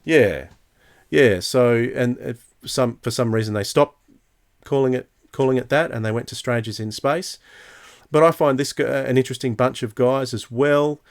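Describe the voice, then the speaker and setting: A man's voice: medium pitch, moderate pace. A man hosting a podcast in a casual, conversational way. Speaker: podcast host. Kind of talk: casual chat.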